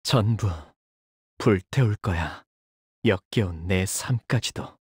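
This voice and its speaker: An actor voicing a young male fighter in a video game, polite and soft-spoken with dramatic delivery. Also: low voice, takes it slowly, husky, no room sound, clean studio sound, heavily acted. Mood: bitter, fanatical. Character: earnest, anxious young man, a devoted shielding fighter; tormented young male zealot who purifies with fire. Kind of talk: video game character voice lines.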